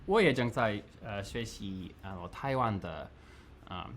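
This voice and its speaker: Slow, animated man on a video call. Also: on the low side, slight background noise. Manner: slow, halting, many fillers. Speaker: guest. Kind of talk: casual multilingual chat.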